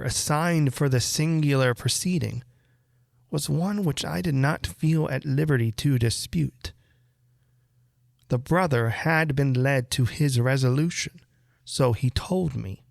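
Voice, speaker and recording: A man reading a classic horror story aloud as literary narration, with measured, deliberate phrasing and dramatic pauses. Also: fairly high pitch, gravelly, no echo, clean studio sound. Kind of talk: literary audiobook-style narration.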